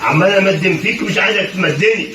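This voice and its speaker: Egyptian guy bossing people around, raspy and rushed, far off in an echoey room. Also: high-pitched. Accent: Egyptian Arabic. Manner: bossy, scolding, insistent. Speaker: family member in vlog. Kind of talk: casual family vlog chat. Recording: echoey room, distant mic, loud noise.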